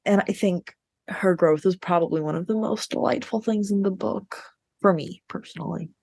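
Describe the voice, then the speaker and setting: A woman's voice: mid-range voice, moderate pace, creaky, darkish tone, no room sound. A woman co-hosting a podcast, talking casually and bluntly about books with strong opinions. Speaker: podcast co-host. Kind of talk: casual book discussion.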